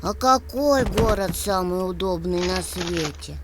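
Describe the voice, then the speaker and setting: A woman voicing an earnest, curious kitten in a children's cartoon, speaking in an unhurried, sincere way. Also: fairly low pitch, lively intonation, background noise. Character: earnest, curious kitten who likes building.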